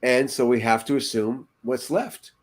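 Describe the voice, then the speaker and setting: A man's voice: medium pitch, steady tone, normal speed. Older man in casual panel discussion. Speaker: podcast panelist. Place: room at home, indoors.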